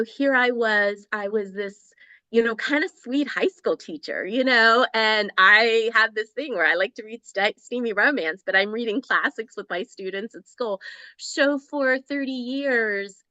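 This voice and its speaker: Chatty bright-voiced woman author. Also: fairly high pitch, measured pace, no echo, lo-fi sound. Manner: enthusiastic, chatty, laughs often, restarts sentences. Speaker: romance author, guest. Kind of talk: casual interview chat.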